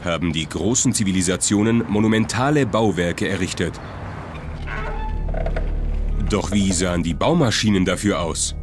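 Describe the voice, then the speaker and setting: A man's voice: deep voice, fairly quick. A man reading scripted documentary narration as the narrator. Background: prominent music.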